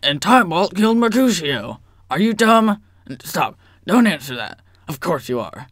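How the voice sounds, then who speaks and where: A man's voice: high voice, sing-song, moderate pace, fairly bright tone, no echo, clean studio sound, exaggerated voice. A man voicing a blunt, scornful prince sock puppet, declamatory and dismissive.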